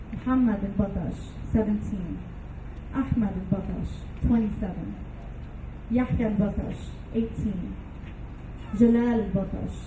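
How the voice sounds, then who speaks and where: Slow, muffled, distant woman reading solemnly. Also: mid-range voice. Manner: measured, solemn. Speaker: reader of victims' names at a vigil. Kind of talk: solemn reading of a list of names and ages. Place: public vigil, voice from a distance. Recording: distant, echoing, dull, noisy recording.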